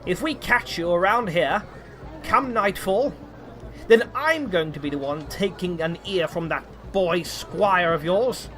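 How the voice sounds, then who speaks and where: An actor playing a spiteful, aggrieved city guard with a whining, threatening manner in a fantasy audio drama. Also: male voice, high-pitched, a little slow, over music. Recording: clear background noise.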